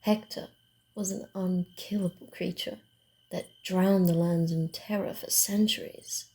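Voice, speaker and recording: A woman voicing a sly, guarded, secretive female vampire in a hushed, theatrical and deliberate ASMR roleplay style. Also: mid-range voice, fairly even intonation, lightly acted. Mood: sly, ominous.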